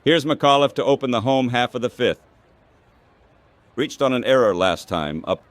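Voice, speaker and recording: A man calling baseball play-by-play as an announcer, in short, measured phrases. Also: medium pitch, lively intonation, no echo.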